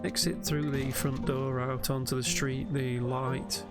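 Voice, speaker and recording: A man giving calm, gentle, measured guided sleep meditation commentary as a narrator. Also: mid-range voice, over loud music.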